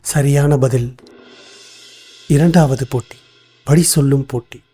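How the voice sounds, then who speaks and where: A man voicing a dark-toned formal royal minister. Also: mid-range voice, unhurried, husky, soft background music. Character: wise cautious male royal minister. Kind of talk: cartoon voice acting.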